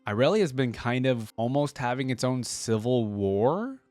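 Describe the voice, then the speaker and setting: A man's voice: mid-range voice, expressive, moderate pace, a little mellow, no echo, soft background music. A man hosting a reaction video, reading a story aloud and commenting on it in a casual, slangy way. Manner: casual, slangy, thinking aloud between passages.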